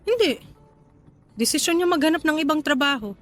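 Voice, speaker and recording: A woman's voice: high voice, lively intonation, fairly quick, a bit bright, soft background music. An actress playing an anxious, evasive woman in a radio drama, answering hesitantly and deflecting questions. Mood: guarded. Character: evasive, anxious woman hiding pregnancy from partner. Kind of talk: Tagalog radio drama acting.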